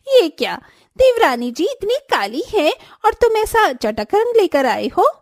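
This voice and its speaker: Woman acting a very high-pitched vain sister-in-law, haughty, jealous, cartoon family drama, dry sound. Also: very animated, takes it slowly, no room sound, exaggerated voice. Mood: mocking. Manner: haughty, sharp-tongued.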